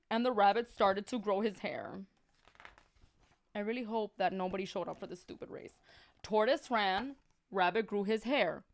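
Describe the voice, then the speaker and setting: High-voiced woman reading tales comically. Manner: casual, sarcastic commentary with slangy Spanish interjections. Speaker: storyteller on a livestream. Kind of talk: comic read-aloud of children's tales with chatty asides.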